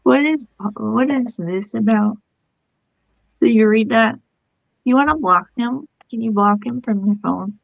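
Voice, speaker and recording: A woman's voice: a little high, unhurried, creaky, no echo, narrow, tinny audio. Blunt woman streamer, dry close sound. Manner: blunt, outspoken, swears casually. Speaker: livestream host. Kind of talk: casual chat.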